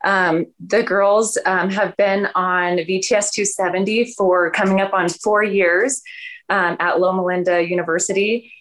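A woman's voice: medium pitch, steady tone, a little slow, a bit bright, poor audio. A woman, a parent on a panel, introducing her family in a friendly, chatty way.

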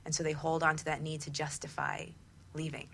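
Lowish, creaky-voiced woman hosting. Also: completely flat delivery, fairly quick, a bit bright, slight background noise. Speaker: talk show host. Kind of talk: conversational advice discussion.

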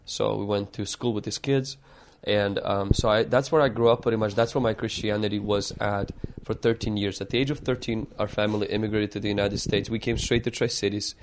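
Ukrainian-born man, pastor guest, lowish voice, earnest and reflective, stops and restarts sentences. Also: fairly even intonation, fairly quick, few pauses, slight background noise. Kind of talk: opening prayer, then storytelling interview answers.

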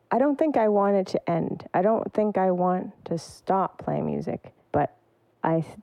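A woman's voice: on the low side, vocal fry, no room sound, highs cut off. A woman percussionist giving thoughtful, searching answers in an interview. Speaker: percussionist guest.

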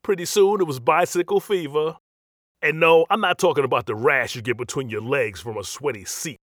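A man's voice: fairly high pitch, fairly quick, fairly bright tone, dry recording, studio quality. An actor playing a brash, cocky, streetwise New York guy who narrates a comedic scripted voiceover with sassy attitude. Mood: cocky, joking.

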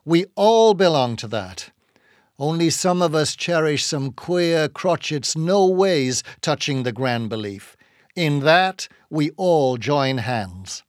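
An earnest young sailor, read by a male narrator, speaking up for a friend in a storybook. His voice sits a little higher and is recorded close and dry. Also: expressive, slow pace, dry recording. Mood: earnest, rising. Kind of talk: dramatised audiobook reading.

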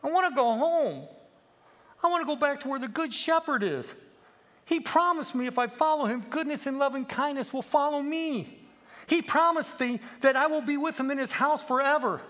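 A man voicing an earnest, emotional little lamb who pleads in a high, strained, preachy way, as in a children's story. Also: moderate pace, fairly bright tone. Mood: tearful longing. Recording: long echoing hall reverb.

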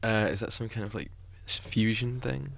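A man's voice: lowish voice, fairly even intonation, normal speed, gravelly, fairly bright tone. A man from Scotland, chatting casually and hesitantly as a radio guest, in an understated way. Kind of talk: casual interview chat.

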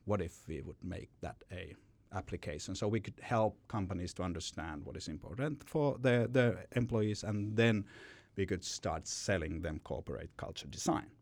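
A man's voice: low voice, lively intonation, moderate pace, hardly any pauses, a little mellow, no echo. A man, a company founder telling his story in an interview, often repeating words as he goes. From Finland. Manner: rambling with frequent repeated words. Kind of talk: podcast interview answer.

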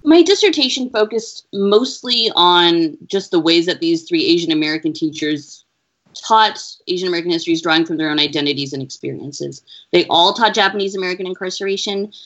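A woman researcher answering interview questions in an earnest, measured, explaining way. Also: fairly low pitch, fairly bright tone. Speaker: education researcher, interview guest. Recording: dull lo-fi audio.

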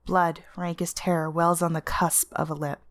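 A woman reading literary first-person fiction as narrator, with an intense, deliberate and expressive dramatic delivery. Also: lowish voice, no room sound. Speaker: story narrator.

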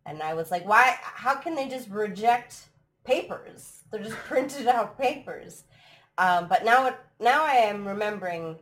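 A woman's voice: medium pitch, lively intonation, unhurried, a bit bright. A woman hosting a casual live stream, chatty and laughing often, her voice a little throaty from allergies. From United States. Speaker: live stream podcast host. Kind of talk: casual chat. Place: at home abroad, live stream.

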